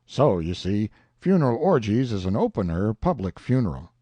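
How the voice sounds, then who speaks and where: A man voicing a pompous, long-winded older swindler who drawls slowly and grandly. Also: lowish voice, sing-song, warm tone, no room sound. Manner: pompous, slow, self-satisfied.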